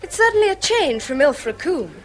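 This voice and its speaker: A woman's voice: sits high, very animated, fairly quick, a bit bright. An actress playing a wistful, affectionate young English daughter in a period radio drama, in a girlish, coaxing manner. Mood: dreamy, wistful. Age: young adult.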